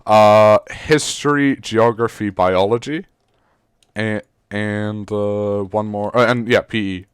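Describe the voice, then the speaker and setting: Rambling Serbian teen boy, smooth voice. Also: lowish voice, fairly even intonation, slow pace, no echo, studio quality. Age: teens. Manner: rambling, many fillers and restarts, swears casually. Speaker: podcast co-host. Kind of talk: casual chat.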